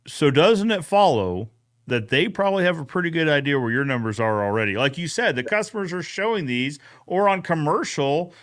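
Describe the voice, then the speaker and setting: Casual man chatting, slightly higher voice. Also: lively intonation, a little fast, a bit bright. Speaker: podcast co-host. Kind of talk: casual recap chat.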